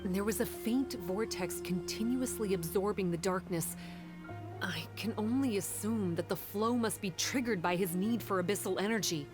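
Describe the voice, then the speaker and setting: A woman voicing a composed, earnest guide in a video game, speaking in a measured, formal way. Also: medium pitch, music in the background, natural voice. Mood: grave. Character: grave, composed woman guide warning of danger. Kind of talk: English video game voice acting.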